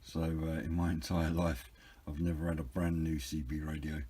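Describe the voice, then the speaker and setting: A man's voice: very deep voice, little pitch movement, normal speed, dark tone, slightly rough. A British man giving an informal, hesitant and understated hobby product review, rambling a little as he explains. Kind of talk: informal unboxing and review narration.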